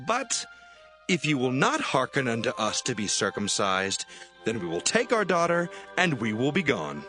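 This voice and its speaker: Medium-pitched male, extremely varied intonation, stern scheming brother played by an actor, firm, declamatory, audio Bible drama. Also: wildly swooping pitch, normal speed, bright, forceful tone, soft background music, full character voice. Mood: stern bargaining. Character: stern, scheming son of Jacob.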